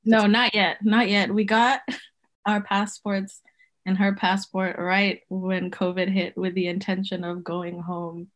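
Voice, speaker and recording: A woman's voice: medium pitch, little pitch movement, slow, low-quality recording. A woman in her thirties from Zimbabwe, raised in Botswana, chatting as a podcast guest in a loose, rambling way, often correcting herself and laughing. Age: 30s. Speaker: guest, folk herbalist. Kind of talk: casual interview conversation.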